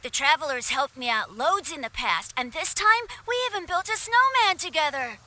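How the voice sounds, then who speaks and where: A woman's voice: high voice, animated, normal speed, bright, forceful tone. A woman playing an eager, friendly young child in a video game cutscene. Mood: proud, excited.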